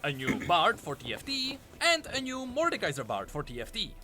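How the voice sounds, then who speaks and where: A man's voice: high voice, wildly swooping pitch, fairly quick, bright, forceful tone. A man narrating a scripted lore video. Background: faint music.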